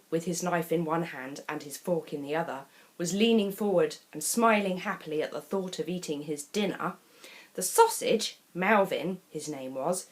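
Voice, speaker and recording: A woman reading a children's picture book aloud as a storyteller, expressive and deliberate, with dramatic pauses between phrases. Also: fairly low pitch, low-quality recording.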